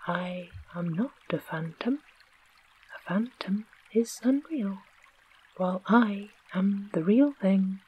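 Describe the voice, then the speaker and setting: Storybook clockwork man, calm and literal, read by the narrator; whispered, very slow. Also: medium pitch, husky, lo-fi sound. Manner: whispered, matter-of-fact.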